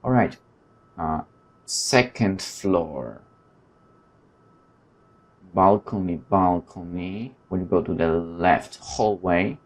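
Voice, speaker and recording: A man hosting a let's play, reading a visual novel's text aloud and adding informal, animated asides. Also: low voice, slow, pauses often. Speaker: gaming video host.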